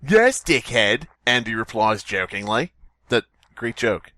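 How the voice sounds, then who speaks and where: Guy reading a story on a comedy podcast, swings pitch around a lot, dry close sound, sweary and jokey. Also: very animated, unhurried, sharp tone, dry recording. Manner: jokey, sweary, breaks off to mock the text. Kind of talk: comic read-aloud with casual riffing.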